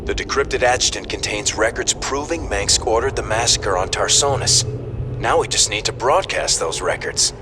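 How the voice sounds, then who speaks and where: An actor playing a composed military officer in a game, giving a measured, businesslike mission briefing. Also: male voice, lowish voice, animated, background noise, natural voice. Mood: serious.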